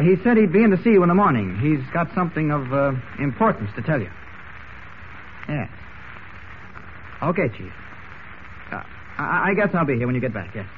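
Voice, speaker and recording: An actor playing an earnest newspaper reporter who thinks aloud, in 1940s radio drama style. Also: male voice, fairly high pitch. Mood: deferential. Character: earnest newspaper reporter, adult man, pondering a mystery. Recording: noisy, tinny old radio recording.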